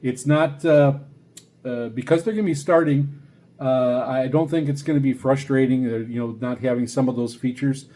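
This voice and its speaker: Mellow-voiced man, halting casual reviewer. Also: medium pitch, steady tone. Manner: halting, rambling, hesitant delivery with repeated words. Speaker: radio review vlogger. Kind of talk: casual unscripted product review.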